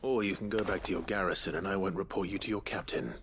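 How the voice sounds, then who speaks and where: An actor playing a stoic, low-voiced lord and sword fighter in a fantasy video game, gravelly and measured in his delivery. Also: noisy background. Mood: firm. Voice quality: deep, gravelly.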